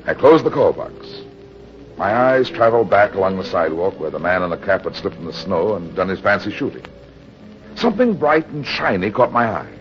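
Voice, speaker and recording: An actor playing a tough, matter-of-fact American private detective, with clipped old-time radio noir delivery. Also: male voice, lowish voice, dull audio. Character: hard-boiled, unsentimental male private detective in pulp radio drama.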